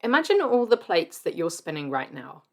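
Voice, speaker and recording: A woman's voice: on the low side, big pitch swings, normal speed, a little mellow. A woman hosting a podcast, opening the show and guiding a guest with questions. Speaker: podcast host. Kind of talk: podcast intro and interview.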